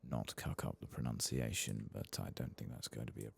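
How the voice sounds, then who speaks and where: A man softly commenting on his gameplay in half-whispered, mumbling asides, his voice lower than usual after singing, close to the microphone. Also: fairly even intonation, brisk. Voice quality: very deep, gravelly and husky. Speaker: ASMR gaming channel host. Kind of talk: soft-spoken gameplay commentary.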